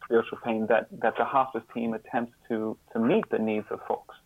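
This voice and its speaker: A man speaking as an interview guest, explaining in a halting way, repeating words and stressing key terms. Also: medium pitch, little pitch movement, narrow, tinny audio. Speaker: interview guest, author and sociologist.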